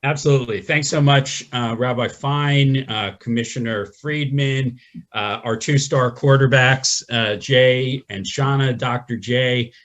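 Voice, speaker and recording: A man hosting a webinar, giving upbeat, folksy closing thanks with many halting fillers over a video call. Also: medium pitch, fairly bright tone, dull audio. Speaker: event host.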